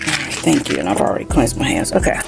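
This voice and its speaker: Gravelly low-voiced woman reading cards. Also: expressive, fast, over loud music. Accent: slight Southern US country. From New Jersey, raised in South Carolina. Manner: chatty, keeps saying "you know what I'm saying". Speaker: tarot card reader. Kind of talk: casual spiritual card reading.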